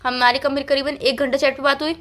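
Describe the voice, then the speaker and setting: A woman's voice: high voice, little pitch movement, a little fast, a bit bright. A young woman playing a girlfriend on a staged couple phone call, talking in an urgent, insistent and dramatic way.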